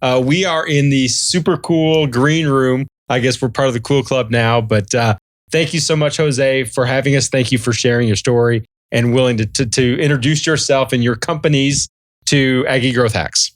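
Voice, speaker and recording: A man's voice: mid-range voice, steady tone, normal speed, no room sound, clean studio sound. A man hosting a podcast interview, upbeat and joking, who laughs easily between his questions. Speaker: podcast host.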